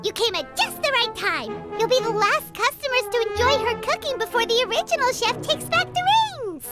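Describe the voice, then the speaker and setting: A woman's voice: very high voice, animated, brisk, over loud music. A woman voicing a tiny floating fairy girl companion who is chatty and nosy and talks about herself by name. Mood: chirpy. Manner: chirpy, speaks of herself by name. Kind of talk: game voice acting.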